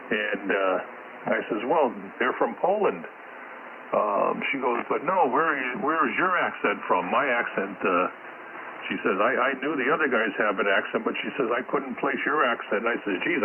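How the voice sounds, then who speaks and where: A man from Connecticut with a Connecticut accent, chatting and telling a funny story on the ham radio. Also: mid-range voice. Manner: chatty, tells a funny anecdote. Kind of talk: casual radio chat. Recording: tinny shortwave radio with static.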